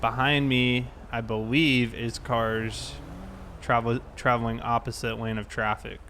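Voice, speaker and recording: A man with a mid-pitched voice talks casually, like a streamer hosting a game session. He explains things in a conversational way. Faint vehicle noise and ambience sit behind him. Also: level tone, unhurried, pushed and bright. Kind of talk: casual chat while gaming.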